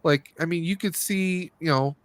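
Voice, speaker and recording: A man's voice: on the high side, moderate pace, no room sound. A man hosting a casual movie review stream, talking in a rambling, conversational way and often rephrasing himself. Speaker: podcast host.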